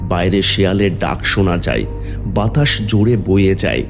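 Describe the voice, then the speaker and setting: Deep-voiced dramatic male storyteller. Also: expressive, moderate pace, creaky, music in the background. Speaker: story narrator. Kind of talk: dramatic scripted horror-devotional storytelling.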